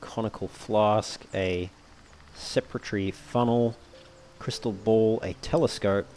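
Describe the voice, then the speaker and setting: A man's voice: on the low side, steady tone, measured pace, a little mellow, soft background music. A man giving casual commentary over a video game, sometimes reading item descriptions aloud. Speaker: let's play host.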